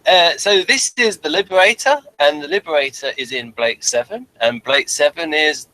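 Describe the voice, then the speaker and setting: Bright, higher-voiced man hosting a casual discussion. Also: moderate pace, a little hiss or hum, rough recording. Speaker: podcast host.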